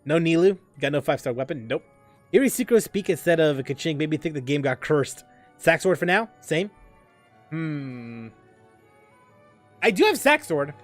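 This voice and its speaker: A man's voice: on the high side, a bit bright. A man casually chatting while streaming a game, rambling with lots of fillers and restarts as he reads chat aloud.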